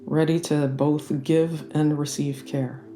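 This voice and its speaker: A meditation guide leading a guided relaxation in a gentle, soothing and unhurried manner. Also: mid-range voice, flat delivery. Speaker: yoga nidra meditation guide.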